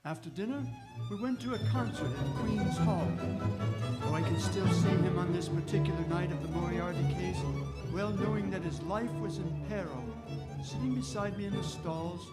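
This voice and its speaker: An actor playing a gentleman doctor who fondly recounts his friend's adventures as narration in a radio drama. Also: sits high, normal speed, natural voice. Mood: fond reminiscence. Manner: reminiscent, storytelling. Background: violin music.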